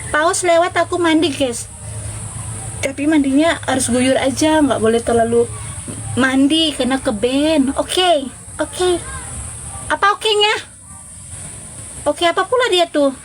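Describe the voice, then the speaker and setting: A woman's voice: sits high, a little slow, pauses often, low-quality recording. A woman chatting casually and informally with viewers as the host of a live stream. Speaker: livestream host.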